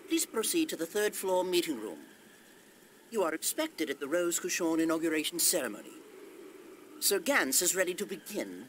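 A fussy castle steward in a video game, speaks with formal courtesy and precise phrasing. The pitch moves up and down in a lively way, over faint background noise. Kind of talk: scripted video game acting.

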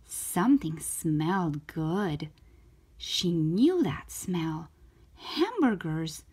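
A woman reads a children's story aloud with lively, swooping pitch and a slow pace. Her voice is a little husky, and she shapes each short sentence carefully and expressively for young listeners. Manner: animated, careful storytelling delivery.

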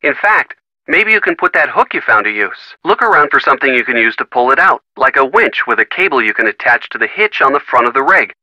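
An actor playing an easygoing, teasing young American boyfriend in a video game, casual and wry. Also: male voice, mid-range voice, sing-song, a little fast, pushed and bright, dry recording, full character voice, poor audio. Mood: helpful, casual. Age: young adult.

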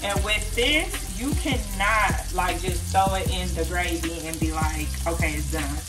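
A woman's voice: lowish voice, measured pace. Young woman, casual cooking vlogger, blunt and chatty, phone recording in a home kitchen. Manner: frank, chatty, slangy, addresses viewers as girl. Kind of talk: casual cook-along talk to viewers. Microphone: phone camera in kitchen.

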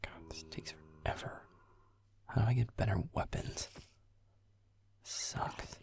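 A surly werewolf boyfriend, played by a man, mutters through a gaming roleplay. His voice is very deep and gravelly, often sinking into a near whisper. He sounds sarcastic and irritable, swearing under his breath. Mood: irritated, muttering. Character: grumpy sarcastic tsundere werewolf boyfriend playing games.

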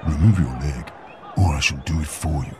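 An actor playing a haughty, threatening sporting ruffian in a comic fantasy audio drama. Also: male voice, very deep voice, normal speed. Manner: bombastic, threatening. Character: haughty aggressive male fantasy sports brute. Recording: noisy crowd at outdoor match.

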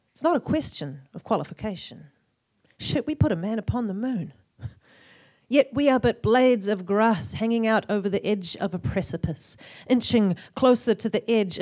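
Female spoken-word poet, New Zealand accent, mid-range voice, rhythmic and emphatic dramatic delivery. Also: mid-range voice. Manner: emphatic, deliberate phrasing with swings between hushed and forceful delivery. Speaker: slam poet. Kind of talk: slam poetry performance, rhythmic and dramatic.

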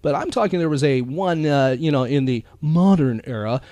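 A man's voice: fairly high pitch, lively intonation, moderate pace, dark tone, no echo, clean studio sound. A man hosting a podcast in a casual, rambling, enthusiastic chat about pop culture. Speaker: podcast host.

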